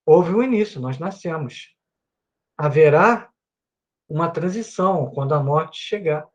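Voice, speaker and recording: A man's voice: a little high, expressive, a little slow. A Brazilian man teaching a Bible class at his computer with a microphone and headphones. He speaks earnestly and keeps adding little "né" tags. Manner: earnest, repeats himself with many "né" tags. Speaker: Sunday school teacher.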